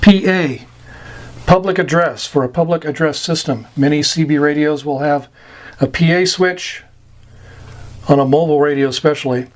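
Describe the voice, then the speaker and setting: A man with an American accent and a slightly higher-than-average voice walks through technical terms like an informal glossary lesson. He is plain-spoken and halting, breaking his explanations into short phrases with frequent pauses. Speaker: instructor explaining CB radio terms.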